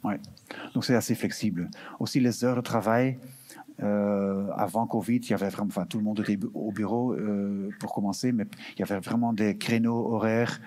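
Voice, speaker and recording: Low-voiced man, recruitment office speaker at a Q&A, hesitant, lots of fillers, mixes in English terms. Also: fairly even intonation, moderate pace, a little mellow. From Belgium. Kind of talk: answering questions at an info session.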